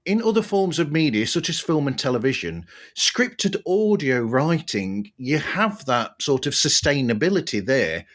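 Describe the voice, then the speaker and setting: A man's voice: fairly high pitch, sing-song, unhurried, hardly any pauses, a bit bright. A man hosting a conversational podcast interview, rambling a little as he thinks aloud. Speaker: podcast host.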